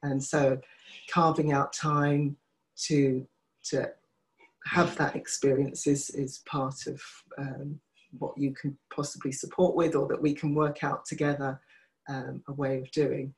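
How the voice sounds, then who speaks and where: A woman in a group discussion, reflecting back what others say, halting with fillers. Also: rumbling low, little pitch movement, rough recording. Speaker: listener reflecting back in an empathy circle.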